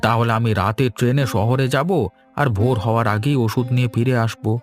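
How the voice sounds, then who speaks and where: A man voicing a grave but kindly village doctor in a cartoon, with solemn, deliberate delivery. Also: medium pitch, fairly even intonation, music in the background, close to an everyday voice. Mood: urgent. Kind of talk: Bengali moral-tale cartoon dubbing.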